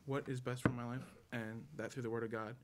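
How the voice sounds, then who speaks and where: A young man giving a halting public testimony in church, searching for his words. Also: mid-range voice, level tone. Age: young adult. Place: church sanctuary at a lectern. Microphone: stand microphone. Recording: noisy church hall recording.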